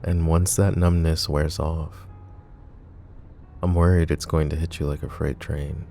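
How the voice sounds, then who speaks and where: A man voicing a flirty, possessive and protective mafia boss in an ASMR roleplay, teasing and soft-spoken. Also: a bass voice, little pitch movement, a little slow, creaky, faint music behind, full character voice. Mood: tender teasing.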